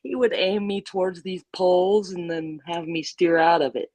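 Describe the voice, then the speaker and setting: A woman chatting as a guest, playful and quick to laugh, telling stories with animation. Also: lowish voice, fairly even intonation, a little mellow, dry recording. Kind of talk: casual chat.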